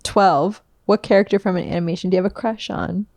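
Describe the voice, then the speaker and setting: A woman's voice: mid-range voice, fairly even intonation, fast, gravelly, darkish tone, dry recording. A woman hosting a casual podcast, reading out numbered questions and answering her guest with short, murmured agreements. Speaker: podcast host. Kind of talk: casual interview chat.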